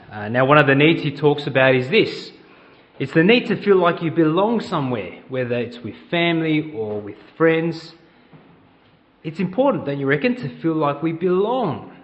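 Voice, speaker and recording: A man's voice: mid-range voice, highs cut off. A middle-aged man with an Australian accent, preaching a conversational sermon in church and asking the congregation questions. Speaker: pastor.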